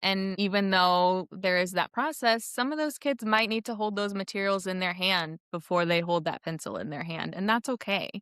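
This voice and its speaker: A woman's voice: medium pitch, animated, normal speed, few pauses, a bit bright, smooth, no room sound, studio quality. A woman, a former teacher, answering in a conversational interview and explaining things with examples. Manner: explanatory, illustrates with imagined classroom quotes. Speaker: former third grade teacher, podcast guest.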